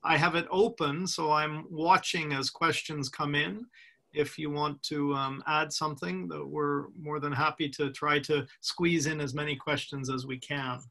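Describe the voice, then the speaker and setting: A man's voice: on the high side, steady tone, measured pace, vocal fry, sharp tone. A man in his sixties hosting a casual video call interview from a room at home, chatty and friendly, with frequent fillers. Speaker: host. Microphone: video call webcam.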